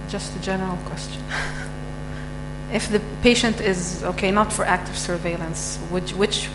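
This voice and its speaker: Female panel moderator, brisk; echoey hall, long reverb, heavy hum underneath. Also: mid-range voice, steady tone. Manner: brisk, businesslike.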